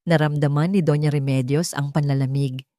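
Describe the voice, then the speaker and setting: A woman with a Filipino accent narrating a scripted dramatic story in a measured storytelling delivery. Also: deep voice, steady tone, warm tone, no echo, clean studio sound.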